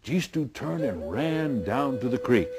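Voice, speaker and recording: A middle-aged man telling a folk tale in a folksy, deliberate way with dramatic pauses. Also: medium pitch, sing-song. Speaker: storyteller. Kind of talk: oral folk tale storytelling.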